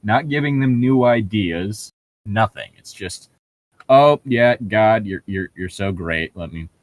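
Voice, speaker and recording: A man's voice: fairly low pitch, lively intonation, a little slow, no room sound. A man in a casual, opinionated chat, talking in a rambling, sweary way.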